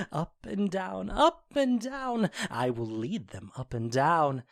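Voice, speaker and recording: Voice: medium pitch, slow pace, creaky. An actor playing a mischievous goblin sprite in an audio drama, theatrical and playful in delivery. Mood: gleeful. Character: Puck, mischievous goblin sprite serving the fairy lord. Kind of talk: audio drama acting of modernized Shakespeare.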